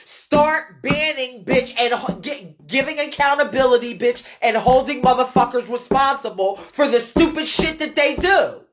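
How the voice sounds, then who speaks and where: High-pitched American woman, blunt live-stream commentator, swears freely and hammers points by repetition. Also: expressive, normal speed, few pauses, faint music behind. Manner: blunt, emphatic, heavy swearing, repeats phrases for emphasis. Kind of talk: unscripted live rant answering viewer comments.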